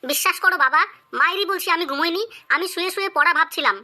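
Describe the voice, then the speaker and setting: Cheeky schoolboy son for comedy cartoon, played by a woman: bright, quick, swaggering, exaggerated. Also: extremely high pitch, heavily acted. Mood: pleading.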